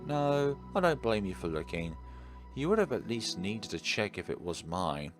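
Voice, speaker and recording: A man's voice: fairly low pitch, very animated, normal speed, over music. A man voicing a sensitive, troubled teenage girl from a visual novel, cheerful on the surface and evasive underneath. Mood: gentle, reassuring. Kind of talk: visual novel character read-aloud.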